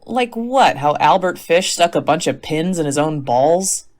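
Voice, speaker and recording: A woman's voice: sits low, animated, a little slow, a little mellow. A woman playing a tired, sensible teacher in a comic phone-call sketch, dry and exasperated. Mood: reluctant, dry.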